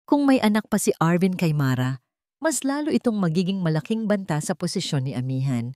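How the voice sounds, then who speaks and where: A woman with a Filipino accent narrates a dramatic scripted story with emotive, deliberate phrasing. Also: lowish voice, big pitch swings, warm tone, no echo, clean studio sound.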